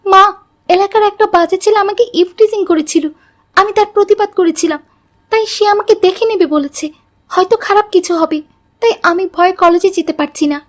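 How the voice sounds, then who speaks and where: Woman playing a very high-voiced cartoon college girl. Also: a little fast. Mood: upset, remorseful. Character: headstrong college girl Fatema, later remorseful. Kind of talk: Bengali moral story cartoon dialogue.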